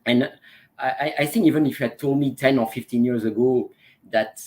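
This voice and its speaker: A Belgian man in his forties speaks as a guest in a casual online interview. He talks at an ordinary pitch for a man, pausing often on fillers and restarting his phrases as he goes. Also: a little mellow. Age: 40s. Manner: hesitant, many fillers and restarts. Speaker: guest, transformation coach.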